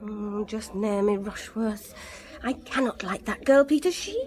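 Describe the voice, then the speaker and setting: A woman's voice: medium pitch, a little slow, a little mellow, close to an everyday voice. An actress playing a frank, indignant society woman with an English accent, candid and confiding, in a radio drama. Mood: disapproving, confiding.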